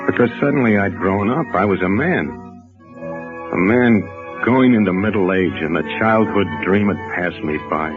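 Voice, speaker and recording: An actor playing a meek, weary middle-aged bank messenger in a 1940s radio drama, halting and wistful. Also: male voice, lowish voice, lightly acted. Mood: wistful, bitter. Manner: halting, hesitant, repeats himself. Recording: muffled old radio recording with music.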